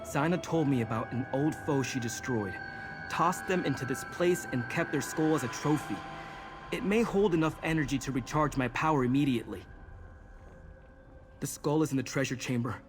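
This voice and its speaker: An earnest young male mage from a fantasy game voiced by an actor, with a somewhat high voice. He speaks intently and resolutely, explaining things over faint music. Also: normal speed, natural voice. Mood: urgent, resolute. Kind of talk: video game character dialogue.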